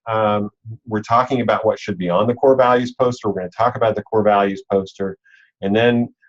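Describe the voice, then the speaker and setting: Low-voiced man leading an online briefing. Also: darkish tone. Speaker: robotics league organizer and coach leading a call. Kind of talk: informal instructional walkthrough on a conference call. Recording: dull, lo-fi online call audio.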